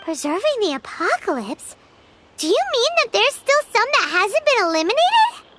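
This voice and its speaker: A woman's voice: extremely high pitch, huge pitch swings, moderate pace, very noisy, heavily acted. A woman voicing a tiny, chatty, naive fairy companion in an anime game. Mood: curious. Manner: refers to self in third person, exclaiming. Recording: music behind the voice.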